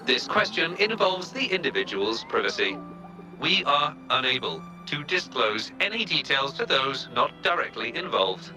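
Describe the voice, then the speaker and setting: A man's voice: medium pitch, big pitch swings, normal speed, over music, close to an everyday voice, rough recording. A man voicing a dutiful, proud robot assistant in a video game, speaking in stiff, formal announcements. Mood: formal, matter-of-fact.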